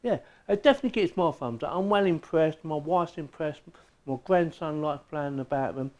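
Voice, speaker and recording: Casting: English man, middle-aged, fairly high but soft mellow voice, informal chatty gadget reviewer. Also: moderate pace, muffled recording. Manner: chatty, informal, plain-spoken. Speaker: product reviewer. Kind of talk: casual hands-on product review.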